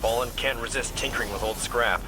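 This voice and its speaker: Game squad leader, male actor: gruff, wary soldier, terse orders, sound behind the voice. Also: mid-range voice, brisk, lightly acted. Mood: commanding. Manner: terse, commanding. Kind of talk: video game voice acting. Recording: noise or music behind dialogue.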